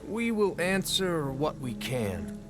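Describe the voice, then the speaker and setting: An actor playing a proud, glory-hungry expedition ruler in a video game, pompous, declamatory and commanding. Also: male voice, a little high, sing-song, slow. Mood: imperious. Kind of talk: video game dramatic acting. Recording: music behind the dialogue.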